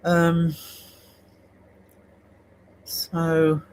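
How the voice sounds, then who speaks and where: A man's voice: a little high, monotone, extremely slow, a little mellow. A man hosting a casual livestream, chatting and rambling to his viewers with lots of fillers and restarts. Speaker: art livestream host. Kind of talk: casual chat reading live comments.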